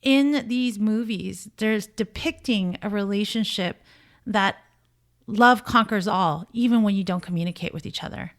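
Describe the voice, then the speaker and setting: A woman's voice: medium pitch, a little slow. A woman speaking as a dating coach, giving conversational relationship commentary in an emphatic, opinionated and blunt way. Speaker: dating coach and show host.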